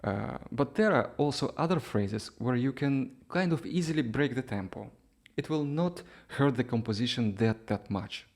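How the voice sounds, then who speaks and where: A man's voice: medium pitch, expressive, normal speed, creaky, few pauses, darkish tone. A man, a non-native English speaker, giving an informal music lesson in a hesitant, explanatory way with little check-in questions. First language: not English. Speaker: duduk teacher. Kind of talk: informal instructional talk.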